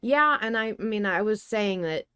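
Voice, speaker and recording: A woman's voice: mid-range voice, expressive, normal speed, sharp tone, smooth, no room sound, studio quality. A woman co-hosting a podcast in casual discussion, reasoning aloud and giving her opinions. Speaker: podcast co-host.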